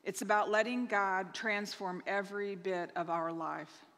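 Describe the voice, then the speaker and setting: A woman's voice: mid-range voice, fairly even intonation, unhurried, fairly bright tone. A woman pastor in her thirties speaking at a lectern in a church sanctuary, earnestly sharing announcements with frequent restarts. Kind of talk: church announcements to online congregation. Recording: reverberant church hall.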